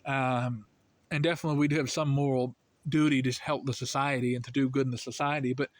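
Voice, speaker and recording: A man's voice: mid-range voice, little pitch movement, fast, no room sound. An American man giving casual religious commentary, earnest and rambling, full of fillers, his voice lifting when he makes a point. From United States. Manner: earnest, rambling, heavy with "you know" and "I mean" fillers.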